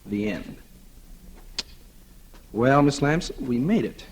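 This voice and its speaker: An actor in a 1940s radio drama playing a cynical, flippant condemned murderer who talks in sardonic slang. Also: male voice, medium pitch, expressive, slow pace, husky, exaggerated voice. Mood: bitter, resigned. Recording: noisy old radio recording with clicks.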